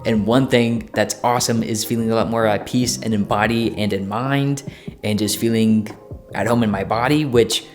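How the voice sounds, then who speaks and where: A man's voice: lowish voice, fairly even intonation, normal speed, a little mellow, faint music behind. A man in his twenties talking casually to the camera, chatty and playful, with plenty of fillers and self-corrections. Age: 20s. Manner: playful, jokey. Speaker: vlogger.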